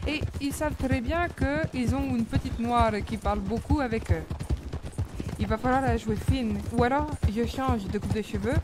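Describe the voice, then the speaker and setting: A woman's voice: a little high, moderate pace, background noise. A woman playing a chatty young Mexican woman in a roleplay, with a put-on Mexican accent and hesitant talk full of fillers. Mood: cheerful. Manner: hesitant, full of fillers, sprinkles Spanish words. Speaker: roleplay player.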